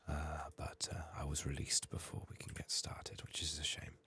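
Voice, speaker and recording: A man who is ill and hoarse, speaking in a subdued, halting, soft ASMR murmur that slips into whispers as he narrates a game. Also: rumbling low, flat delivery. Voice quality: husky, raspy, breathy. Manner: subdued, hushed, halting with long pauses. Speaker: ASMR gaming streamer.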